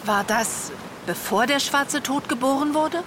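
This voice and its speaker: A woman's voice: sits high, unhurried, noisy background. An actress playing an earnest, puzzled woman questioning a magician in a fantasy audio drama.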